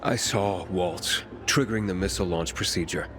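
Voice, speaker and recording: An actor playing a weary, determined wanderer who is the hero of a video game, speaking tersely and guardedly. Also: male voice, sits low, moderate pace, over music, close to an everyday voice. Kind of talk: video game cutscene acting.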